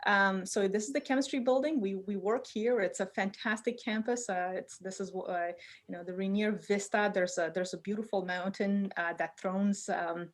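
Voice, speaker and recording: A woman's voice: mid-range voice, fairly even intonation, moderate pace. Woman giving a chatty webinar lecture. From Romania. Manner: informal, many fillers and restarts. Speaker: chemistry professor giving a webinar talk. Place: home basement.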